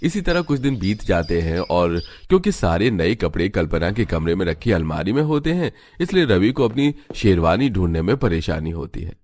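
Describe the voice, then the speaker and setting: Guy with a lowish voice reading cartoon story narration. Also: big pitch swings, normal speed, few pauses, a little mellow, slight background noise.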